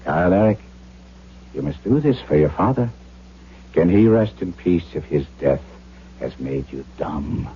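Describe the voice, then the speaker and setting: An actor playing a firm, earnest German doctor in a radio drama, deliberate and authoritative. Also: male voice, deep voice, lively intonation. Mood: earnest coaxing. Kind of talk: 1970s radio drama acting. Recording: dull radio recording with faint hum.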